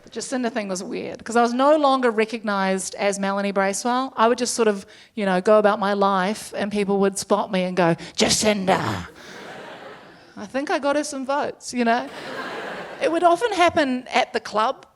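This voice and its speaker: Stand-up comic, woman, thirties, New Zealand accent, chatty self-deprecating delivery, mid-range voice. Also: mid-range voice. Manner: chatty, self-deprecating, quick asides with laughter. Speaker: stand-up comedian. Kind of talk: stand-up comedy set. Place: comedy stage before a live audience.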